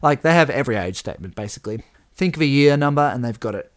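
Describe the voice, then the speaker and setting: A man co-hosting a casual podcast, chatty and rambling, his voice a little croaky. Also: mid-range voice, expressive, fast. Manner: chatty, rambling with restarts and laughs. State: slightly croaky voice. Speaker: podcast co-host. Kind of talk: casual chat.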